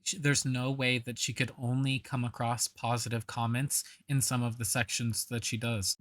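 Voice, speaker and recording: A woman's voice: rumbling low, no echo. A woman commenting on a video, sarcastic and scornful. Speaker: reaction commentator.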